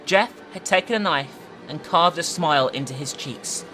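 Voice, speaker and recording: A woman's voice: deep voice, normal speed, pushed and bright. A woman narrating and commenting in a dramatic but casual story-reading style. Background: faint music.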